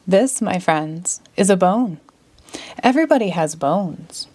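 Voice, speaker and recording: A woman voicing a cheerful, grandiose male stage magician in a storybook, with theatrical, drawn-out delivery. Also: lowish voice, expressive, a little mellow. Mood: showy.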